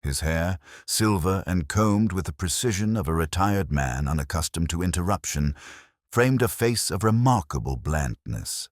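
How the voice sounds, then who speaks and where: A man's voice: low-pitched, animated, moderate pace, dry recording, studio quality. A man reading a detective mystery story as scripted narration. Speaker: narrator and reader.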